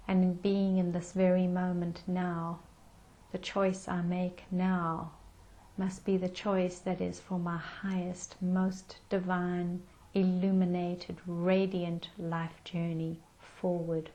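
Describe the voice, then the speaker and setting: A woman leading a guided meditation in a gentle, soothing manner with unhurried pauses. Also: lowish voice, rough recording.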